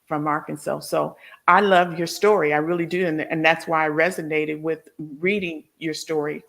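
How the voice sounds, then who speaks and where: A woman's voice: low voice, fairly even intonation, moderate pace, vocal fry, a bit bright. A woman hosting a conversational interview, sharing personal stories and putting questions to her guest. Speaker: show host interviewer.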